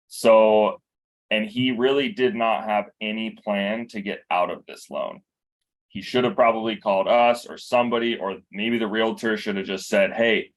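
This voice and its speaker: A man from a bank giving a relaxed briefing, explaining loans with examples. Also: fairly low pitch. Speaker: bank loan officer. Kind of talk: informal work briefing.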